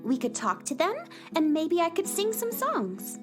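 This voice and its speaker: A woman's voice: very high voice, sing-song, measured pace, over music, exaggerated voice. A woman voicing a cheerful, curious little girl in a children's radio play, eager and polite. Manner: eager, polite, wide-eyed.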